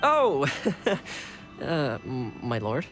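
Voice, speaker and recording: A young male retainer from an anime game, courteous and loyal with a wry, gently exasperated edge. His pitch moves very dramatically as he talks. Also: huge pitch swings, extremely slow, soft background music, full character voice. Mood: flustered.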